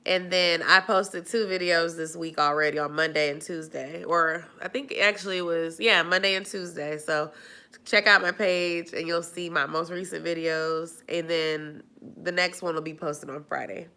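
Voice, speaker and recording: A woman's voice: on the low side, fairly even intonation, normal speed, bright, forceful tone, clear, resonant tone. A woman chatting casually on a live stream, nasal and stuffy from a cold, rambling with lots of fillers. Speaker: vlogger answering live chat.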